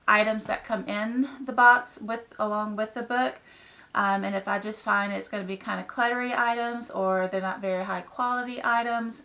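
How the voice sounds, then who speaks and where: A woman with a hoarse, raspy voice from a head cold, chatting casually through an unboxing with lots of ums. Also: fairly high pitch, a bit bright. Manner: chatty, rambling, many ums. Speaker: book vlogger.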